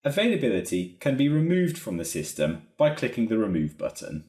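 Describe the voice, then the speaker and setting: Low, lively man in an echoey room. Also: fairly quick. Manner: methodical, explanatory. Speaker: software trainer. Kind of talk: instructional screen-recording narration. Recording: roomy, echoey voice recording.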